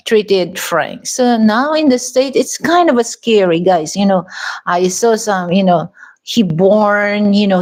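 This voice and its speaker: A woman with a Filipino accent talking casually to her viewers in a vlog, rambling with many restarts and "you know"s. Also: medium pitch. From Philippines. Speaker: vlogger.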